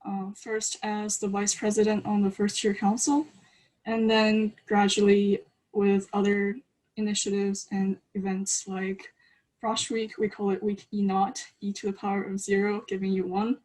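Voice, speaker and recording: A woman's voice: medium pitch, little pitch movement, measured pace, a little mellow, low-quality recording. Female, early 20s, student panelist, mid-range voice, informal introduction style. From China, then eastern Canada from age ten.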